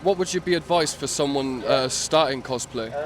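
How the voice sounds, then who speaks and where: A man's voice: medium pitch, moderate pace, a crowd in the background. A man interviewing people at a convention, polite and brief, thanking each guest. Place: busy convention hall.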